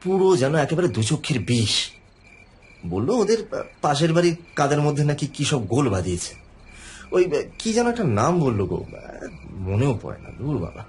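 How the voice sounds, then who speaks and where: A harried, hesitant husband, expressive pitch. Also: male voice, a little fast, pauses often, slight background noise. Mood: uneasy, gossipy. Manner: hesitant, colloquial, punctuated with sighs and fillers. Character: harried middle-aged husband, placating, anxious, gossipy. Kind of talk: Bengali audio horror story acting. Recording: background music under the voice.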